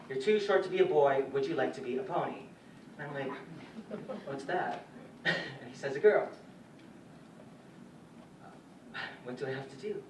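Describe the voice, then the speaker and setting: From across a roomy stage comes a vulnerable young dancer acted by a man, his voice on the high side. He speaks in a halting, candid theatrical monologue, stumbling nervously as he confesses. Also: natural voice. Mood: wry, reminiscing. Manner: halting, hesitant, nervous stammers and restarts. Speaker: stage actor in a school or youth theatre production. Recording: roomy stage, distant mic, faint noise.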